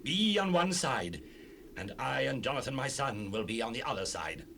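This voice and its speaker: A man's voice: medium pitch, sing-song, normal speed, creaky, a bit bright. An actor playing a grave, commanding warrior king in a dramatized Bible recording, speaking with regal declamation. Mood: solemn. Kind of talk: dramatized scripture acting. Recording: noisy cassette rip, ambient sound.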